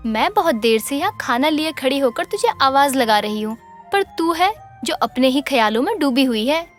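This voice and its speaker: High-voiced caring village mother acted by a woman. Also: lively intonation, moderate pace, faint music behind. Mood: mildly chiding. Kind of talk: animated moral story dialogue.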